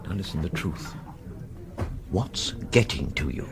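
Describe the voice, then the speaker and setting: Blunt, concerned friend, low animated voice. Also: male voice, measured pace, gravelly, full character voice. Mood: urgent, grave. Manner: urgent, emphatic, repeats himself. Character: concerned, exasperated senior college friend, blunt and insistent. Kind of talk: radio drama acting.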